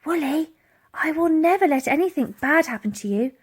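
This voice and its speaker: A woman's voice: high-pitched, lively intonation, normal speed, voice pushed up. A woman voicing a brave, bossy young girl in a children's adventure story, urgent and commanding.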